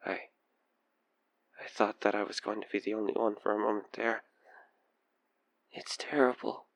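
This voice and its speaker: Obsessed male killer for audio roleplay, played by a man: manipulative, coaxing, unhurried, whisper-prone, recorded very dry. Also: fairly low pitch, dry recording. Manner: hesitant, halting, breathy sighs and whispers sliding into menace. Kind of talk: intimate audio roleplay acting addressed to the listener.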